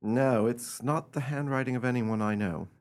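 A man acting in a radio play as a nervy, high-handed gentleman with an agitated, melodramatic and commanding manner. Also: normal speed, lightly acted. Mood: guarded.

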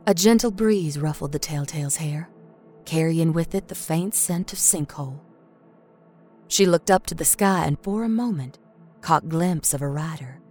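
A woman's voice: low voice, moderate pace, a little mellow. A woman reading a scripted story as a dramatic storyteller. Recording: faint music bed.

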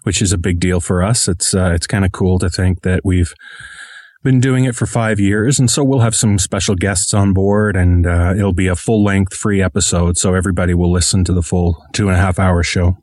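Male podcaster as interview guest: low-pitched, conversational, modest, recorded dry. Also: moderate pace, a little mellow, dry recording. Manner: modest, self-deprecating.

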